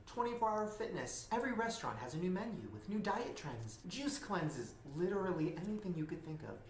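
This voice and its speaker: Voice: medium pitch, roomy sound, rough recording. A YouTuber narrating a scripted video in a dramatic, storytelling way.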